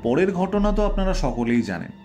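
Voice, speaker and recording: Male actor as self-assured sleuth: formal, deliberate, theatrical reveal delivery, prominent music bed. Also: fairly high pitch, animated, natural voice. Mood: measured, explanatory. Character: shrewd, self-assured male Bengali detective unmasking a culprit. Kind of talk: audio drama acting. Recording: loud background music under voice.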